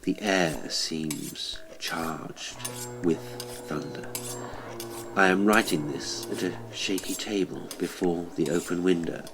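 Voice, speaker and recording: A man narrating a horror story for an audiobook in a measured, deliberate, dramatic way. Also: deep voice, noisy background.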